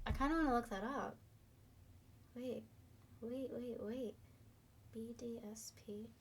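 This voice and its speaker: Chatty young woman streamer, slightly hoarse. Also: high voice, fairly bright tone, slight background noise. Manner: chatty, upbeat, reads viewer comments. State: slightly hoarse, losing her voice. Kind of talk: casual live-stream chat.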